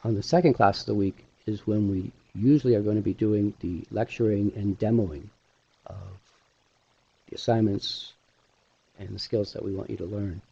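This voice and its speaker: A middle-aged man giving an informal online presentation as an instructor, speaking haltingly with pauses mid-sentence through a headset mic. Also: lowish voice, gravelly, mellow, slightly rough. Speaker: animation instructor hosting a webinar. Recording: dull, lo-fi webinar audio.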